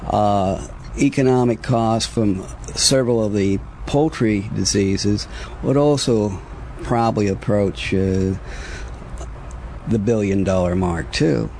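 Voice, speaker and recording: Slow, hesitant American male official. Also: on the low side, darkish tone, slight background noise. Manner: measured, hesitant, formal wording with frequent pauses. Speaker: government veterinary official, interviewee. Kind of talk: explanatory interview answers.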